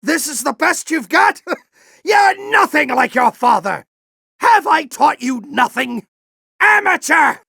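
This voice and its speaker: A man playing a grizzled older alien warrior general in a video game, with a gruff, commanding, theatrical delivery and a fanatical intensity. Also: very high voice, sing-song, slow, bright, forceful tone, dry recording, raised voice, studio quality, exaggerated voice. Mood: defiant, angry. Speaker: military commander and mentor. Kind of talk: video game voice acting, combat barks and dramatic speeches.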